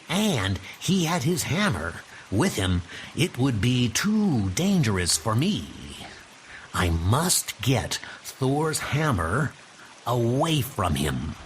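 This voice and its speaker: A man's voice: mid-range voice, slight background noise, full character voice. A man voicing an arrogant, scheming god of mischief with theatrical, pompous delivery in a comic book dub. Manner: theatrical, pompous, archaic commanding speech.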